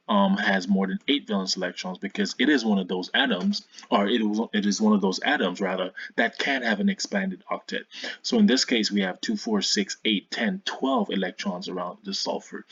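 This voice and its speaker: Man, informal tutor, hesitant explaining, gravelly low-end fry, dry muffled recording. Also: fairly bright tone, no echo. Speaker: science tutor, video host.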